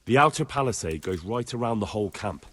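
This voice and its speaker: A man's voice: lowish voice, expressive, fast, a bit bright, husky. A man playing a dutiful, grim young medieval soldier in a video game cutscene. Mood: factual, reporting.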